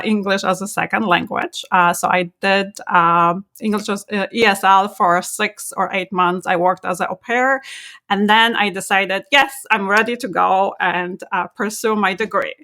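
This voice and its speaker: A woman in her forties with a bright, forceful voice, recounting her life as a podcast guest. She tells her story in an animated way, laughing often and filling pauses with uhs. Her pitch sits in the middle for a woman. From Poland. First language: Polish. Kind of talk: casual interview, life story.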